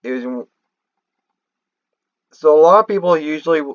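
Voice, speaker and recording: Male game-lore commentator, unhurried and rambling, restarts often, dry but slightly muffled lo-fi home recording. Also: on the high side, lively intonation, dry recording. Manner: halting, rambling, often restarting mid-thought. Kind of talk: unscripted theorizing commentary over a screen recording.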